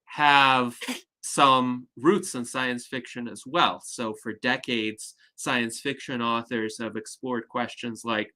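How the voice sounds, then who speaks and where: A man leads an online discussion, his voice bright and forward. He explains ideas in a measured, articulate way over a video call. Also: medium pitch, steady tone, low-quality recording. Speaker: host.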